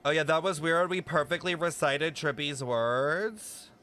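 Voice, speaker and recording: A young man with a bright, clear-toned voice that sits a bit above the usual male pitch. He chats casually while reacting to a game, spinning theories aloud and tossing in swear words. Faint game music plays behind him. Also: measured pace. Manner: thinking out loud, excited speculation, frequent swearing.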